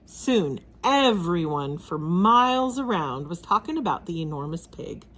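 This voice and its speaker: Animated, husky woman storyteller. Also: on the low side, measured pace. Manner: dramatic, expressive. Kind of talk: animated children's storybook narration.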